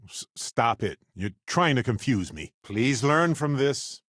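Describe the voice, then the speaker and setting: An actor playing an earnest, wry, talkative space explorer companion in a video game, speaking in a conversational, reflective way. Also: male voice, mid-range voice, lively intonation, measured pace, a bit bright, no room sound, clean studio sound. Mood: firm, upset.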